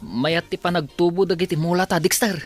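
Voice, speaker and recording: An actor playing a cheerful, polite young farm worker in an Ilocano radio drama, with an Ilocano accent. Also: male voice, sits high, fairly quick, faint music behind, close to an everyday voice. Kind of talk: Filipino radio drama acting.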